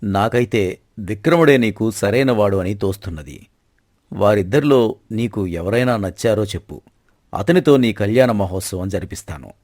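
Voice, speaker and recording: Man playing a commanding low-voiced king. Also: lively intonation, darkish tone, no room sound, studio quality. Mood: proud, deliberate. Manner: commanding, formal, measured. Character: stern, proud king and father, authoritative and dismissive. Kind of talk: dramatized moral story acting.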